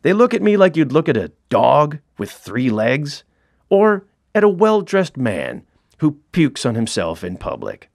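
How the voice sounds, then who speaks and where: Middle-aged man, dry close studio read, animated swoops in pitch, wry self-deprecating comic narrator. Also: a little slow, vocal fry, dry recording. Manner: wry, self-mocking storyteller. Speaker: author reading his own audiobook.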